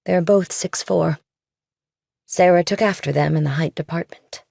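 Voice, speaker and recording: A woman's voice: lowish voice, moderate pace, gravelly, darkish tone, dry recording, clean studio sound. A woman voicing a stern, pious middle-aged missionary mother in audiobook dialogue. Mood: subdued.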